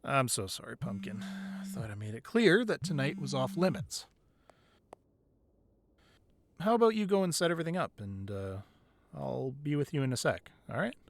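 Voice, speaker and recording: A man's voice: medium pitch, big pitch swings, brisk, gravelly, no room sound. A man playing an affectionate boyfriend who secretly runs a crime ring, in an intimate, conversational ASMR roleplay. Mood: apologetic, tender. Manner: conversational, playful with partner, curt and commanding in business.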